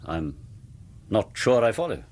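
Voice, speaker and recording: A man's voice: on the low side, sing-song, a little slow, darkish tone. An actor playing a middle-aged, courteous and reserved English businessman in a radio drama, speaking formally. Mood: guarded. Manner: formal, guarded, polite. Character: reserved, courteous English factory-owner brother, quietly principled.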